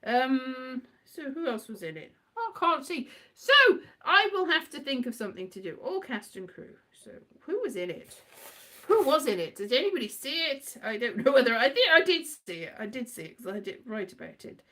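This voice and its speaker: A woman's voice: high-pitched, big pitch swings, moderate pace, a bit bright. A middle-aged woman from Wales rambling casually in a scatty, digressive way, thinking aloud and muttering asides to herself. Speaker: writer and performer, host.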